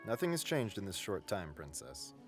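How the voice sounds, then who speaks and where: A loyal royal guard with a very deep voice that moves lively up and down, played by a man. He talks with respectful ease and good humor, with faint music under his lines. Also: moderate pace. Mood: friendly. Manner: respectful, easygoing.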